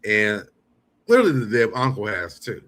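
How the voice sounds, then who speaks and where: A low-pitched man hosts a live commentary show. He talks casually and bluntly, with swearing mixed freely into his remarks. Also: sing-song, measured pace, a bit bright. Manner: blunt, profane. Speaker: show host. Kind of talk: casual live commentary over played clips.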